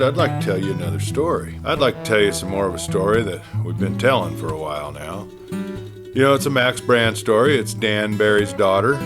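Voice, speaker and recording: A man narrating a Western tale as a folksy, unhurried campfire storyteller and host. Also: fairly low pitch. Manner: folksy, unhurried asides to listeners.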